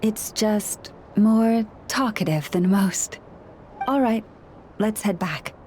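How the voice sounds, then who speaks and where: A woman voicing a calm, composed young woman who guides others with the help of animal helpers, in a video game cutscene. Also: medium pitch, takes it slowly, a little hiss or hum, close to an everyday voice. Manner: calm and reassuring.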